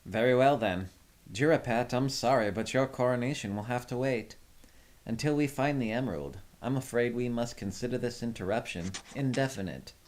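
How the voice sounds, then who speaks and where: A man's voice: medium pitch, moderate pace, darkish tone, close to an everyday voice. A man playing a haughty, exasperated royal brother with a fierce temper and a pompous, scornful manner in an audio drama. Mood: stiff, formal.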